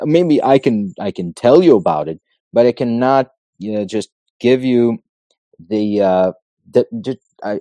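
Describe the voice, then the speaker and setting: Man from north macedonia, american-sounding accent, low mellow voice, dry close sound, measured explaining. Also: no room sound. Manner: measured, explanatory, thinks aloud. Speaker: guest, politician. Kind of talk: casual interview talk.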